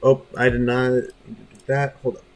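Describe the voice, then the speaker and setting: A man's voice: medium pitch, monotone, moderate pace, darkish tone. A man chatting casually as he hosts a gaming livestream, informal, apologetic and self-deprecating. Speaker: livestreamer host. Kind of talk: casual gaming stream chat.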